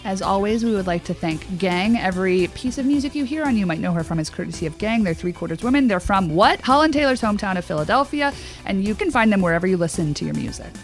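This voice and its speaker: A woman's voice: mid-range voice, animated, quick pace, soft background music. An American woman co-hosting a podcast, talking casually in a chatty, opinionated way with many a "like". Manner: chatty, opinionated, uses "like" often. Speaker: podcast co-host.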